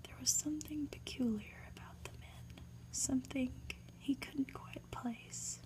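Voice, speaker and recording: A woman reads a storybook in a hushed ASMR whisper, slow and gentle. Her voice is soft-edged and mellow, with measured phrasing. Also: high-pitched, fairly even intonation, rough recording. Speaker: ASMR reader.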